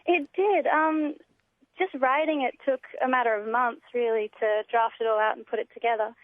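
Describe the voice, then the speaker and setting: A woman's voice: on the high side, steady tone, normal speed, no room sound. A young Australian woman chatting enthusiastically as a guest in a casual radio interview, heard over the telephone. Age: young adult. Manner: enthusiastic, gushing. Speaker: interview guest, student writer. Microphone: telephone call. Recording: tinny narrow phone line.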